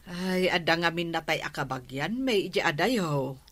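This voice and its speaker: A woman's voice: low voice, moderate pace, a bit bright, dry recording. A woman playing a hesitant village neighbour in a radio comedy drama, with an Ilocano accent.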